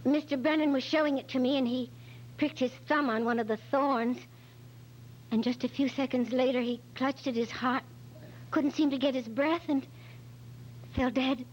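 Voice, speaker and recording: A woman's voice: high voice, steady tone, moderate pace, pauses often, natural voice. A woman playing a flustered, anxious secretary who hesitates and stammers as a witness in an amateur radio detective drama. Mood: uneasy. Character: nervous, flustered female secretary, evasive witness. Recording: dull, lo-fi recording with faint hum.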